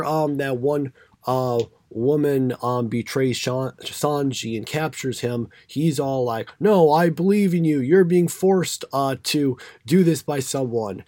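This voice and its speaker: A hoarse man co-hosting a podcast, recapping episodes in a halting, filler-heavy casual chat. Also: medium pitch, darkish tone, dry recording, studio quality. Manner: halting, many fillers and restarts. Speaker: podcast co-host.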